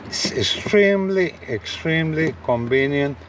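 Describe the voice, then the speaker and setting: A man's voice: a little high, expressive, a little slow, a little hiss or hum. A man giving a casual hands-on product demo to viewers, repeating himself with enthusiastic phrasing, in and around a parked truck. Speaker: gadget review vlogger.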